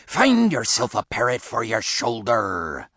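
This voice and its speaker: A man voicing a gruff, bullying pirate rabbit captain in an audiobook story, barking orders in pirate slang. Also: mid-range voice, huge pitch swings, a little slow, a bit bright, no room sound, studio quality, full character voice. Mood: commanding.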